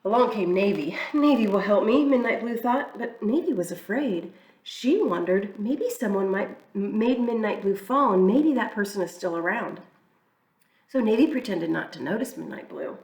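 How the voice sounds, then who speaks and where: A woman reading a children's picture book aloud in an expressive, storytelling way. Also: medium pitch, normal speed. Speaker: church reader. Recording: echoey room, mic far off.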